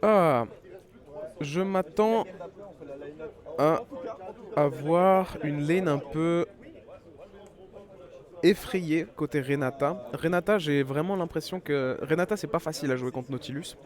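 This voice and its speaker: A man's voice: on the high side, slow, pauses often. A man with a head cold giving live esports commentary, chatty and full of fillers and restarts. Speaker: esports caster.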